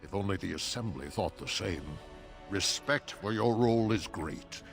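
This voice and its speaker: Dwarf steward, lowish lively voice, stern, weary, formal authority, fantasy game. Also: male voice, normal speed, music in the background.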